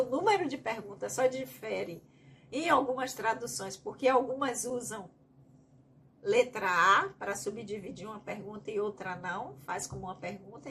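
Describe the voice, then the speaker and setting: A woman from Bahia with a Bahian accent leads a study group, reading each question aloud and then explaining it at length. Her voice sits a bit high. A faint hiss runs under it. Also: a bit bright. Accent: Bahian Brazilian Portuguese. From Bahia, Brazil. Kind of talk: teaching a spiritist study group.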